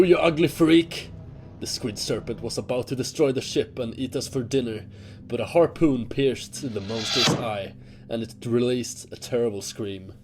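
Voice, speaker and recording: A man playing a self-deprecating seafaring monster hunter who tells his tale in a deliberate, theatrical way in an audio drama. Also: medium pitch, a little mellow, slight background noise, lightly acted. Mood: drunken defiance, then grave, fond. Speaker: character telling a campfire tale in an audio drama. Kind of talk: scripted dramatic storytelling. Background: music under the voice.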